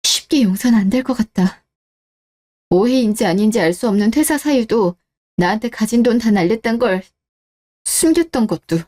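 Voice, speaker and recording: Radio drama role: woman as a stern, reproachful wife, cool-headed and unforgiving, slightly high pitch, very mellow timbre. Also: expressive, normal speed. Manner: cold, interrogating, reproachful. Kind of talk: radio-drama story dialogue.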